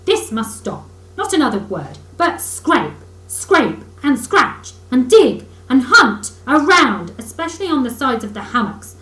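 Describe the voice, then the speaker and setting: A woman's voice: sits high, sing-song, unhurried, pushed and bright, voice pushed up, full character voice. A woman voicing an eager, emphatic male rat from a children's storybook, kindly but bossy and impatient. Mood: impatient, urgent. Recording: roomy echo, faint background noise.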